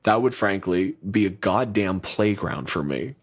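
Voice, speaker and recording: Blunt, profane man with a low voice. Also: a little slow. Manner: blunt, profane, animated riffing. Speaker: podcast co-host. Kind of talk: casual podcast chat.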